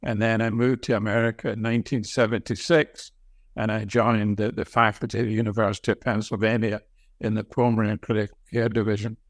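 Older Scottish man, low-pitched and halting. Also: flat delivery, no room sound. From Scotland. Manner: halting, repeats words as he talks. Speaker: interview guest, senior medical professor and researcher. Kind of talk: conversational interview answers, reminiscing.

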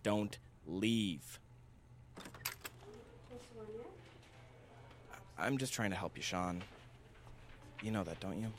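A man as a stern, low-voiced father. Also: unhurried, background noise. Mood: stern, weary. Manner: scolding, firm. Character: stern, exasperated, image-conscious father of young boy. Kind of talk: audio drama acting.

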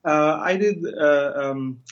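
A man's voice: on the high side, slow pace. Man who grew up in Germany, lo-fi. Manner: self-deprecating, hesitant, many fillers. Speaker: interview guest, comic illustrator. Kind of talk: casual interview chat.

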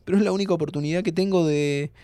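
An actor playing a dying young man from Buenos Aires, playful and hopeful, talking informally and haltingly to his friend in an audio drama. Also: a little high, dark tone, no echo, soft background music, lightly acted. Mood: pleading. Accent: Argentine, Buenos Aires. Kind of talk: naturalistic audio fiction acting.

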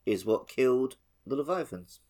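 A man co-hosting a podcast, chatting casually about a video game and tossing in quick reactions. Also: medium pitch, animated, dark tone. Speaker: podcast co-host.

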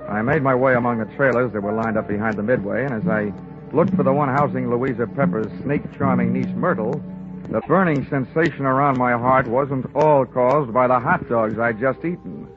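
Male actor playing hard-boiled American investigator, deadpan and wisecracking, vintage radio drama, narrow old recording with music behind. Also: mid-range voice, normal speed, natural voice. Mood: wry, narrating. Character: wry, hard-boiled male insurance investigator narrating his case. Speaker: lead actor and narrator. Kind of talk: 1950s radio detective drama acting.

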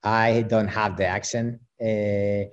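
A man whose first language is Spanish, a professional soccer player answering interview questions in English, often halting to search for words. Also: on the low side, little pitch movement, muffled recording.

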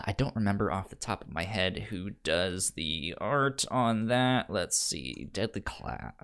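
A man chatting casually as a podcast co-host, halting, with a stammer that repeats sounds and syllables. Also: low voice, gravelly, fairly bright tone, smooth. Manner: halting, conversational. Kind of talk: casual podcast chat recommending comics.

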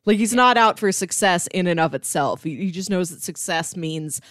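A woman's voice: lowish voice, expressive, moderate pace, a bit bright, dry recording, studio quality. A woman co-hosting a podcast, casually discussing and summarizing a film. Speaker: podcast co-host.

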